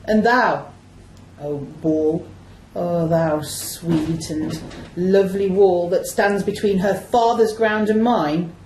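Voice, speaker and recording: A woman's voice: on the low side, takes it slowly, a little mellow, a little hiss or hum. A woman playing an overwrought young male lover in an amateur Shakespeare radio play, declaiming melodramatically. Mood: melodramatic lament. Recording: roomy, echoing amateur recording.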